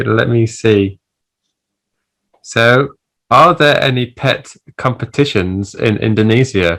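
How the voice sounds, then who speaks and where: A woman from England, a teacher explaining things in a relaxed, casual chat and laughing easily. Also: very deep voice. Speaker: English teacher, co-host. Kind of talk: casual conversational Q&A.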